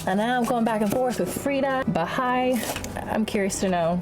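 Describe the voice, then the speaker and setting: A woman's voice: a little high, normal speed. A woman who is under the weather, chatting informally and with some self-deprecation as she vlogs a DIY project. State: ill with a bad cold. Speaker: DIY van build vlogger. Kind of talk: casual chat to camera.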